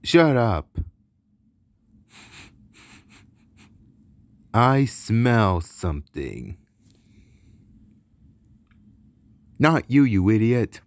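A man's voice: fairly low pitch, sing-song, slow pace, exaggerated voice. A man voicing a big, bossy, short-tempered cartoon villain boss who blusters and repeats himself. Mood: angry. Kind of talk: cartoon villain character lines.

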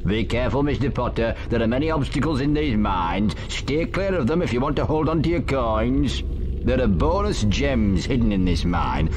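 A man's voice: medium pitch, noisy background, natural voice. A man playing a polite, formal goblin guide in a video game, giving careful instructions. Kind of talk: video game tutorial acting.

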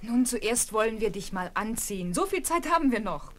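An actress playing a brisk, caring governess who coaxes and admonishes children in a radio play. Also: female voice, on the high side. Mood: coaxing. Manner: admonishing, coaxing, animated. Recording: vintage radio play with background noise.

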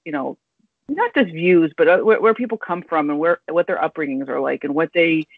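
A woman in her thirties hosting a podcast, thinking aloud in halting, rambling sentences over a video call. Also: sits low, expressive, dry recording. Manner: rambling, halting, full of restarts and fillers. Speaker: podcast host. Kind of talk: casual interview chat. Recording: tinny dry video call audio.

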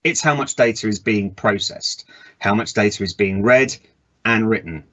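A man in his thirties giving a relaxed technical conference talk from a home office over a video call, with frequent ums and uhs. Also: on the low side, normal speed, lo-fi sound. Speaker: conference presenter. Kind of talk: technical presentation over slides.

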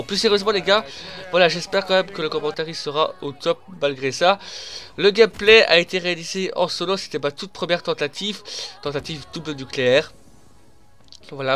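A man's voice: fairly high pitch, fairly bright tone. A young man with a head cold and a blocked nose, chatting emphatically over a video game as he comments on his own play. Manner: chatty, emphatic, addresses viewers as "les gars". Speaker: gaming commentator. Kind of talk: casual voice-over commentary over gameplay.

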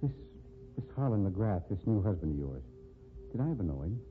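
An actor playing a wounded, nervous ex-convict in 1950s radio style, with halting, intense delivery. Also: male voice, low-pitched, sing-song. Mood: wistful. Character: wounded, nervous ex-convict man back from ruin. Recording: tinny, noisy 1950s radio recording.

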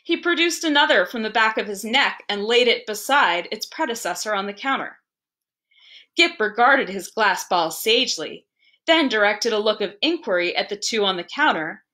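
A woman reading a story aloud as narrator, with deliberate, theatrical phrasing. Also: mid-range voice, animated, sharp tone. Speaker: library staff reader.

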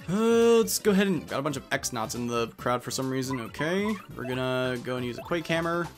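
A man's voice: medium pitch, expressive, brisk, music in the background. A man giving casual let's play commentary on a video game, reading dialogue aloud as he goes.

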